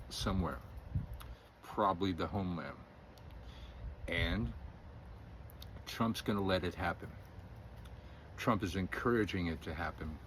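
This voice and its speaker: Middle-aged male commentator, lowish voice, opinionated and rambling, faint hum behind. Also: a bit bright. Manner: rambling, opinionated, chuckles often, hesitant fillers. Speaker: independent news commentator. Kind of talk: casual political commentary.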